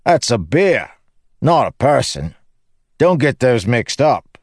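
A man's voice: mid-range voice, very animated, takes it slowly, darkish tone, no room sound, clean studio sound, voice pushed up, exaggerated voice. A man voicing a cocky, chatty young male soldier in an audiobook, flippant and theatrical. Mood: breezy, boastful. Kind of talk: audiobook character acting.

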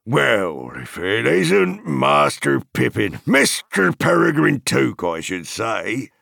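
A gruff, hearty hobbit farmer from a fantasy audiobook, acted out by a male narrator. He talks bluntly and rustically with a gravelly edge and big swings in pitch, wary of strangers yet hospitable, recorded close and dry. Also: sing-song, a little slow, no echo, heavily acted. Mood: surprised, friendly. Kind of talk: dramatized fantasy audiobook character.

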